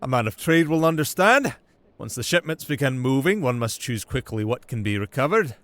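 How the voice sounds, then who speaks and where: A man's voice: mid-range voice, big pitch swings, brisk, fairly bright tone, dry recording. A man voicing a scheming villainous marquis in an audio roleplay, courtly and insinuating, with veiled menace. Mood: oily, insinuating. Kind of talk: ASMR audio roleplay acting.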